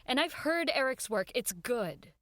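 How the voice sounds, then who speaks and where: A woman's voice: high voice, sing-song, measured pace, a bit bright, no echo, heavily acted. An actress playing an idealistic, determined woman who runs a 1940s radio network, speaking in an earnest, dignified, persuasive way in an audio drama. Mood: eager, persuasive. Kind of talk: audio drama acting, dialogue and wistful first-person narration.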